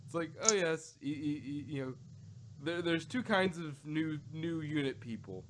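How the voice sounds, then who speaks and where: A man's voice: on the high side, animated, moderate pace, a little hiss or hum. A man co-hosting a casual review podcast, chatting unscripted in a rambling, joking way. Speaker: podcast co-host reviewing audio dramas.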